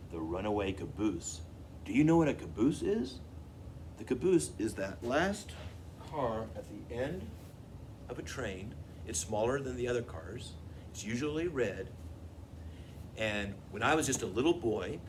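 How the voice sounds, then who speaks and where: A man's voice: medium pitch, background noise. An American man, a librarian hosting a children's storytime, who talks to young listeners and puts questions to them. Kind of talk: children's storytime with songs and read-aloud.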